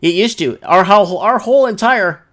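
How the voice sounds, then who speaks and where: Male livestream host, slightly high register, loose and slangy delivery, rambling casual talk. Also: expressive, normal speed, a bit bright. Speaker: live show host. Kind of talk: casual livestream chat, reading viewer comments and news aloud.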